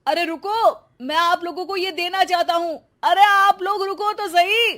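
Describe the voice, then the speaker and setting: A woman's voice: extremely high pitch, fairly even intonation, normal speed, pushed and bright. A woman voicing a stubborn, bossy young husband in a cartoon, talking in a scolding, commanding way. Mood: pleading shout. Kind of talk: cartoon voice acting.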